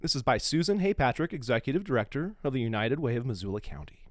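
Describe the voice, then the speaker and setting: A man's voice: mid-range voice, very animated, fast, no echo, clean studio sound. An American man hosting a podcast, giving a casual, emphatic and opinionated introduction. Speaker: podcast host.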